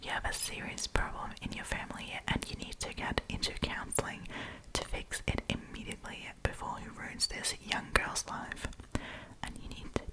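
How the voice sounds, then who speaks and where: Female ASMR storytime host: constant breathy whisper, informal and opinionated, reads aloud and comments casually. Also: moderate pace, poor audio. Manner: chatty, opinionated, informal with swearing. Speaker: host reading Reddit stories.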